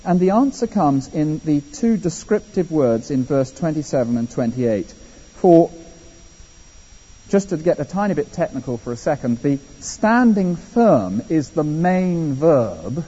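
An English man delivers a sermon with lively swings in pitch and a conversational touch. His voice is husky and mellow. The recording is muffled and rings with the long reverb of a large hall. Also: a little slow. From England. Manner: animated, conversational preaching with asides. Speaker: preacher. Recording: dull echoey hall.

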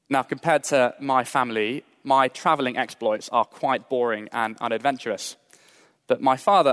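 Man, British, preacher, measured earnest delivery, mid pitch, echoing church hall. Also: fairly bright tone. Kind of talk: sermon. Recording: long hall reverb.

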